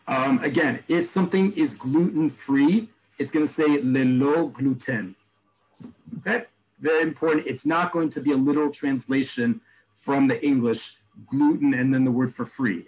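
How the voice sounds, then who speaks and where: A man teaching an online class, explaining terms and repeating each word slowly. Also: medium pitch, a little mellow, poor audio. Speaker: Hebrew teacher. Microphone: video call.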